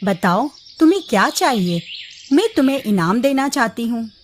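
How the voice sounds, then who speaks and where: Lady doing fairy princess for kids cartoon, kindly, swoopy high voice, faint noise behind. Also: animated, moderate pace, close to an everyday voice. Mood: reassuring, generous. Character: gracious young fairy princess, kindly and reassuring. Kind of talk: children's cartoon story voice acting.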